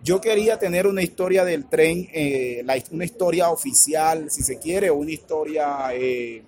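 A man with a Colombian Caribbean accent, answering interview questions in a halting, thoughtful way with many filler words. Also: a little high, darkish tone, a little hiss or hum. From Colombia's Caribbean region. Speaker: author and academic guest. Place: radio booth at a book fair stand.

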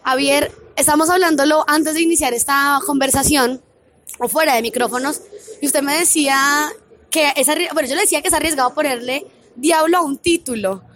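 A woman's voice: high voice, fairly quick, fairly bright tone. A woman hosting a radio interview, asking questions in a friendly, conversational way. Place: radio booth at a book fair stand.

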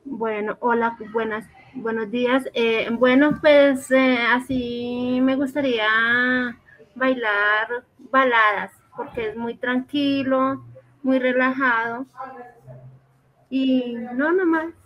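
A woman's voice: on the high side, steady tone, takes it slowly, lo-fi sound. A woman taking part as a parent, answering briefly and shyly. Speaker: parent participant.

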